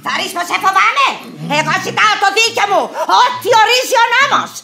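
A woman's voice: very high voice, lively intonation, vocal fry, sharp tone, heavily acted. A woman playing a stubborn, whining old petitioner in a farcical radio play, wheedling and scolding. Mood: indignant, scolding. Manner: wheedling, plaintive, turning scolding. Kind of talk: farcical radio theatre acting.

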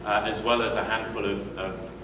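A man's voice: on the low side, level tone, moderate pace. A British man from the UK in his forties giving a conference talk in a hotel meeting room, conversational, with frequent fillers and restarts. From United Kingdom. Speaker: conference speaker. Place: hotel meeting room, indoors, medium size. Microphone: room mic from a distance. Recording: echoey room, distant mic, noisy.

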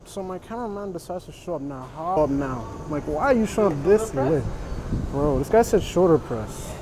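A man's voice: fairly high pitch, animated, normal speed, over a noisy crowd. A young man vlogging casually to camera in a large commercial gym, chatty and laid-back. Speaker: fitness vlogger. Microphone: camera mic from a few meters off. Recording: noisy gym background. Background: gym noise and clanking weights.

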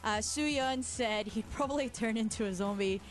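A woman's voice: fairly high pitch, moderate pace, faint music behind. A woman chatting casually as a radio host, recapping and explaining. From Australia.

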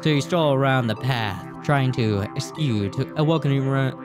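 A man's voice: mid-range voice, normal speed. A man in his twenties doing a let's play, reading game text aloud and reacting casually, sometimes stumbling over words, close on a desk mic. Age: 20s. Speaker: video game streamer. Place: small room indoors at a gaming setup. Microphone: close desk microphone, headset worn. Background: game music.

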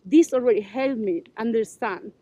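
A woman's voice: on the high side, normal speed, dark tone, no echo. A woman, chatting animatedly while sightseeing at outdoor ruins, gesturing as she talks. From Spain. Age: 20s. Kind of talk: casual chat while sightseeing. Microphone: wide-angle action camera held nearby.

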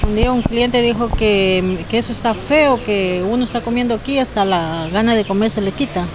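Stall woman in her 30s, plain short answers, higher voice, street noise behind. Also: moderate pace. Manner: plain, matter-of-fact answers. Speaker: food stall worker. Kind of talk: street interview. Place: outdoor food stall on a street. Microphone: handheld reporter mic.

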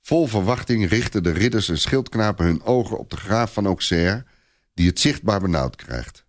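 Guy reading a story dramatically, lowish voice, lots of movement in the pitch. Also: animated, moderate pace. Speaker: narrator. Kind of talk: dramatic scripted story narration.

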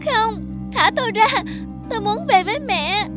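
A woman's voice: very high-pitched, animated, normal speed, music in the background. A woman voicing a playful, headstrong little teacup child in a cartoon, with a naive, childlike manner. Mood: scared.

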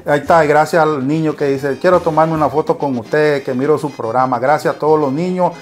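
Nicaraguan male rodeo announcer, high-ish voice, excitable play-by-play, soft music underneath. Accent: Nicaraguan Spanish. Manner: rapid-fire calls rising to shouts. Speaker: bull riding commentator. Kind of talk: excited live sports commentary.

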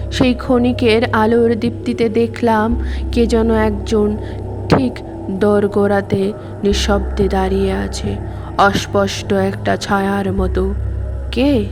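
A woman narrating a scripted story in a measured, dramatic reading manner. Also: medium pitch. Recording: music under the voice. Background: background music.

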